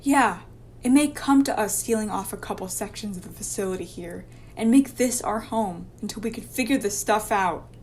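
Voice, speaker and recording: A woman's voice: medium pitch, normal speed, a little hiss or hum, close to an everyday voice. A woman playing a determined, serious infectious-disease doctor in a radio drama. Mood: firm, determined. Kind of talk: amateur radio drama dialogue.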